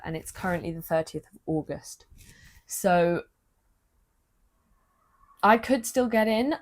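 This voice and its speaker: Earnest young woman, lowish voice. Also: darkish tone. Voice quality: low-energy voice. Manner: earnest, confiding, emotional. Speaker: vlogger. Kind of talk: personal vlog talking to camera. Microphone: phone.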